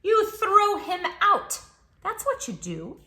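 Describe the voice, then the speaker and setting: A woman's voice: extremely high pitch, huge pitch swings, slow, bright, forceful tone. A woman hosting a read-aloud for young children, speaking expressively and emphatically. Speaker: children's storytime host. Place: indoors, room at home.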